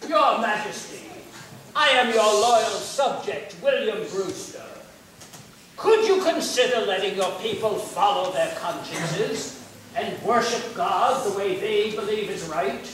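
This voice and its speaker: A man plays a devout Puritan puppet, earnest and high-pitched, declaiming to an audience. He sounds distant, in a very echoey room. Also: animated, slow, a bit bright, heavily acted. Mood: pleading. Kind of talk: puppet show acting. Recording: echoey room, distant mic, faint noise.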